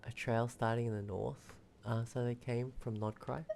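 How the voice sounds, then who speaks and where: A woman with a very low voice, mellow and full of fry. She talks casually as a game streamer, with music playing behind her. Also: steady tone, moderate pace, a little hiss or hum. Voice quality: low, creaky. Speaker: streamer reacting to a video game. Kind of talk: casual chat and reading along.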